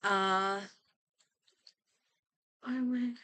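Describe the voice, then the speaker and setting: A woman streamer chatting casually and thinking aloud in an expressive way as she comments on a game. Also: medium pitch, drawn-out words, dry recording.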